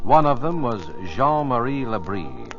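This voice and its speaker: A man giving scripted dramatic narration as a 1950s radio host, in a measured announcer style. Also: mid-range voice, very animated. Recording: muffled vintage radio transcription. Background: music.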